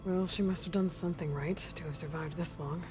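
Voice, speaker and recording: An actress playing a young video game heroine, direct, practical and skeptical, with an understated, plain-spoken delivery. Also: female voice, lowish voice, steady tone, a little fast, music in the background, natural voice. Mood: dry, skeptical. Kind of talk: video game character dialogue.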